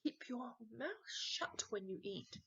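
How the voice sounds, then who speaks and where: A woman voicing an exasperated father of young boys, sternly shouting reprimands in a children's storybook. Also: a little high, sing-song, slow pace, highs cut off, background noise, voice pushed up, heavily acted. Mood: stern warning. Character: exasperated scolding dad of two young boys.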